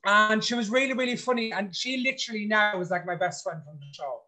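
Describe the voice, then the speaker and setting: A man talks in a casual interview, outspoken and blunt. He speaks with animated energy and swears freely. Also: high-pitched, fast talker. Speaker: reality show contestant.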